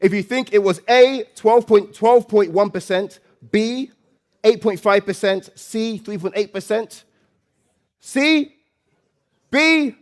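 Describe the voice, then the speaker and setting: A man hosting a live stage event, loud and playful, coaxing the audience and often repeating phrases. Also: high-pitched, slow pace. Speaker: event host and MC.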